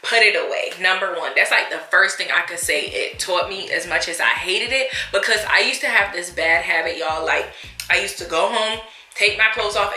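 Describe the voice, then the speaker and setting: A young American woman chatting casually and emphatically, with a sassy, expressive manner, as in a vlog storytime. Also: mid-range voice. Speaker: vlogger. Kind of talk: casual storytime chat during a mukbang. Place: indoors in a small room at a table. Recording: echoey room.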